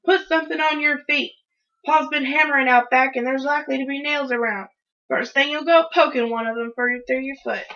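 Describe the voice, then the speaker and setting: A woman's voice: fairly high pitch, normal speed, creaky, slightly rough, dull audio. A woman voicing an exasperated, no-nonsense country mother with a bossy, folksy way of scolding, from a children's storybook. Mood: stern warning. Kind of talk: children's storybook read-aloud.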